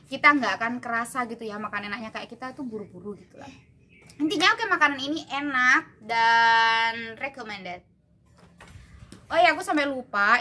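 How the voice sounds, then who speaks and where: Chatty woman reviewing noodles. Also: medium pitch. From Java, Indonesia. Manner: chatty, relaxed, many fillers and tasting hums. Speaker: food reviewer. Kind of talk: casual food review vlog. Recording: noisy home recording. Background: clear background noise and clicks.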